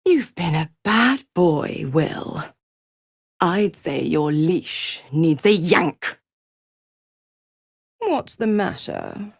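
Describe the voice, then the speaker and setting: A woman's voice: fairly low pitch, lively intonation, very slow, vocal fry, long pauses, breathy edge, no echo, clean studio sound, exaggerated voice. An actress playing a seductive, scheming female devil in a video game, mocking and purring with condescending endearments. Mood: smug, taunting. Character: seductive, scheming, gloating female devil who makes pacts. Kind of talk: theatrical game character dialogue.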